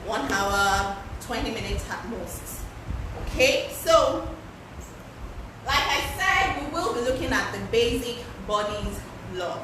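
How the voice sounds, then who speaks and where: A woman with a loud voice leads a sewing pattern lesson in a casual, chatty way, often repeating words for emphasis. She is heard from some distance in a very echoey room. A steady background noise runs under her voice. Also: a little high, slow. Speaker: fashion pattern-drafting instructor. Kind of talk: live-streamed class, casual instruction.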